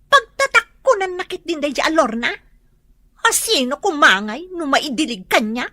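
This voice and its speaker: An actress with an Ilocano accent playing an expressive young student woman in a radio drama. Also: high voice, moderate pace, no room sound, full character voice. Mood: catty, vain.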